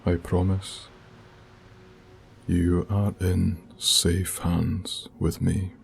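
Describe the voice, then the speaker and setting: A man leads an ASMR guided meditation in a soft, hushed, soothing voice, speaking deliberately with long pauses. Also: deep voice, completely flat delivery, gravelly, a little hiss or hum. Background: music with binaural beats.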